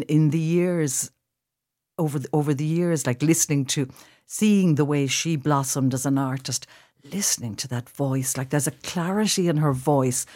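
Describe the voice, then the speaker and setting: A woman's voice: low-pitched, warm tone. A woman from Limerick with a Limerick Irish accent, chatting thoughtfully as a radio guest and often repeating phrases as she searches for words. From Limerick, Ireland. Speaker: actress and director, guest. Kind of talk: casual radio interview, reminiscing.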